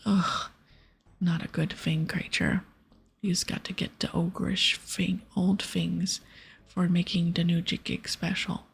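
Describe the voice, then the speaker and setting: A woman voicing a simple-minded, blunt ogre from a fantasy game in broken speech and a breathy whisper. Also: lowish voice, little pitch movement, slow pace, full character voice. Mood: disappointed.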